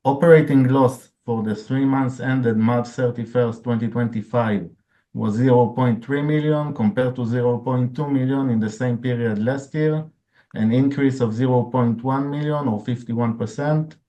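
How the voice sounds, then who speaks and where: A man's voice: medium pitch, steady tone, normal speed, mellow, muffled recording. A man speaking as a finance chief, formally reading out figures from a scripted financial report on an earnings call. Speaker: chief financial officer presenting results.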